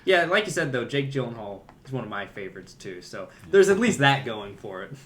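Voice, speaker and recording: A man's voice: medium pitch, very animated, a little fast. A man co-hosting a podcast, chatting casually about movies in an opinionated, conversational way. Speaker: podcast co-host.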